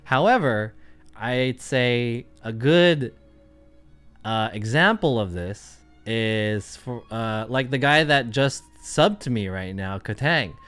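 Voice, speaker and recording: A man's voice: mid-range voice, animated, slow, a bit bright, clear, resonant tone, soft background music. A man chatting casually on his livestream, rambling and thinking aloud with lots of fillers. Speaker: streamer.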